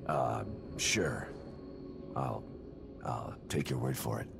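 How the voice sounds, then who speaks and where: A man playing a gruff, weary, determined game protagonist, speaking tersely and dryly in a low voice. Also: slow pace, over music, exaggerated voice. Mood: weary, wry. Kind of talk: video game cutscene acting.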